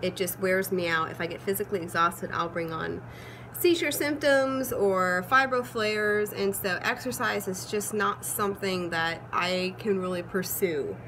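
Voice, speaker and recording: A woman in her thirties, hoarse from a cold, chatting casually and upbeat with lots of ums as she vlogs from home. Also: medium pitch, a little slow, background noise. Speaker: vlogger. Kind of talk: casual chat. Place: at home, changed rooms.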